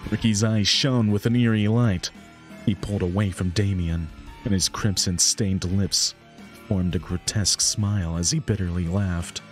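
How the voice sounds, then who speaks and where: A man's voice: sits low, fairly even intonation, normal speed. A man reading scripted story narration for a visual novel. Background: background music.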